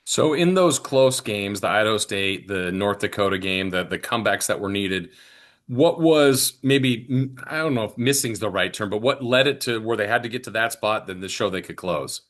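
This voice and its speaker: A man's voice: on the low side, lively intonation, normal speed, fairly bright tone. A man hosting a sports podcast, asking questions in a conversational interview style.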